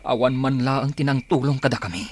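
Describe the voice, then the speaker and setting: A man's voice: mid-range voice, fairly even intonation, fairly quick, a little hiss or hum, lightly acted. An actor playing an aggrieved young man bent on revenge in an audio drama. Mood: bitter, anguished. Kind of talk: Filipino radio drama acting.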